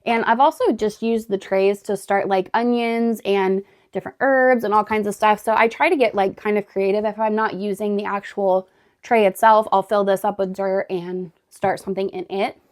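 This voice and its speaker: Hoarse, raspy-voiced woman vlogging at home. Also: mid-range voice, steady tone, normal speed. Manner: chatty, lots of "like" and "really". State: hoarse from recent illness. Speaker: homestead vlogger. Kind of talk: casual vlog chat. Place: indoors at home, sorting gardening totes.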